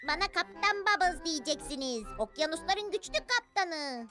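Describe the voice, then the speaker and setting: A woman voicing a sweet, playful, excitable little girl superhero in a cartoon, childlike and dramatic. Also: high-pitched, moderate pace, over music. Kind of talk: exaggerated cartoon dub acting.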